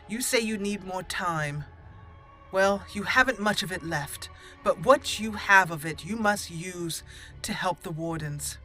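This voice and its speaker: Bright, excitable woman gaming host. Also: mid-range voice. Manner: chatty, excitable. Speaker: let's-play host. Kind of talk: casual game commentary and roleplay.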